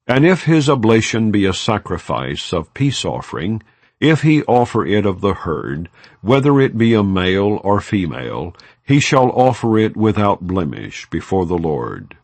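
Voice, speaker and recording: Deep-voiced man reading scripture. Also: no echo. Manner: measured, formal recitation. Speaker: audiobook narrator. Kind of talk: solemn scripture reading, non-dramatized audiobook.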